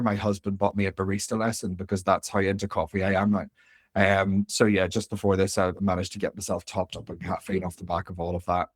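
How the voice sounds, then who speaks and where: A man's voice: on the low side, no echo, clean studio sound. A man in his late thirties with a Northern Irish accent, a career coach giving a live webinar in a chatty, informal way with many fillers. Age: 30s. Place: home room.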